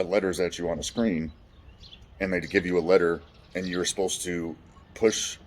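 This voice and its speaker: A man with a deep, resonant voice telling his life story frankly, swearing casually and restarting often. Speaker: vlogger. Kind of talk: candid personal storytelling.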